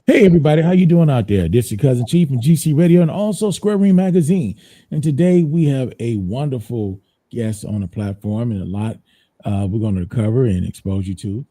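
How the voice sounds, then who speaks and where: A laid-back man hosts an interview, chatting loosely and circling back on his words. His voice is deeply dark and mellow, with a middle pitch. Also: lively intonation, a little fast. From United States. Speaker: podcast host. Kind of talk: casual interview chat.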